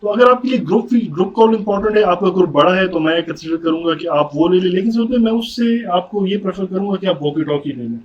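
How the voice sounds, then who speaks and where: A Pakistani man with a high-pitched voice reviews gear in a casual, conversational way, slipping English words in as he goes. The sound is a little dull, with faint noise behind him. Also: expressive, fast, few pauses, a little mellow. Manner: mixes English words into speech. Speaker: motorcycle vlogger reviewing gear. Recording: dull audio with background noise.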